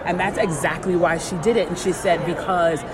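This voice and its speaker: A woman's voice: on the low side, steady tone, normal speed. A woman being interviewed as an author, telling stories casually and emphatically, acting out what people said. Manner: acts out quoted dialogue, emphatic. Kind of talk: animated casual storytelling. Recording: crowd chatter and clear urban background noise.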